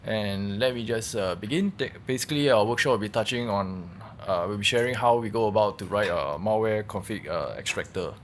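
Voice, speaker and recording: A man giving a technical workshop presentation, explaining in a halting way and repeating words as he goes. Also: fairly low pitch, a little hiss or hum. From Singapore. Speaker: workshop presenter, malware researcher.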